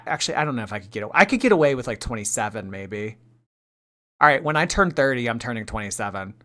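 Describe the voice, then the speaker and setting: A chatty woman in her late twenties hosts a livestream reaction, bright and forward in tone. She teases her viewers and drifts between loud bursts and mumbled asides. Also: very deep voice. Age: late 20s. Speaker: streamer.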